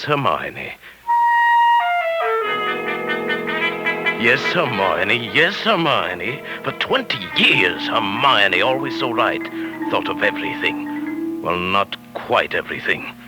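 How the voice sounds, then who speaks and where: An actor playing a meek, middle-aged henpecked husband who secretly schemes, in 1940s radio drama style. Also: male voice, low-pitched, poor audio. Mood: resentful. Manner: meek deference with simmering resentment. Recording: 1940s radio broadcast with background music.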